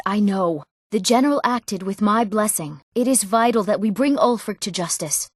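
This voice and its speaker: A woman's voice: mid-range voice, no echo, close to an everyday voice. Woman acting earnest young fantasy townswoman, dry recording. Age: young adult. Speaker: video game voice actor. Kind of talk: scripted fantasy game dialogue.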